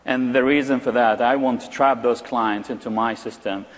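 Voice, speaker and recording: A man whose first language is Arabic, presenting a live security demo at a conference and often pausing with fillers and restarts. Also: medium pitch, fairly even intonation. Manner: hesitant, many fillers and restarts. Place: large conference hall. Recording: long hall reverb.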